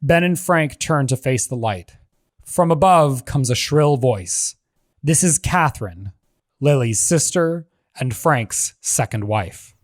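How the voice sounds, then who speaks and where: A man reads out screenplay action lines in a measured, even delivery at a middle pitch. The recording is dry and close, with almost no room sound. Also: dry recording. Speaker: narrator reading stage directions. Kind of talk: scripted narration of a screenplay.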